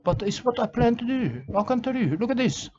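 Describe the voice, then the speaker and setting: A man's voice: very high-pitched, very animated, brisk, mellow, slight background noise. Rambling Australian man, lo-fi recording. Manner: rambling, repetitive, broken grammar, keeps saying "mate". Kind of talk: rambling comic monologue. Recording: dull lo-fi recording with faint ambience.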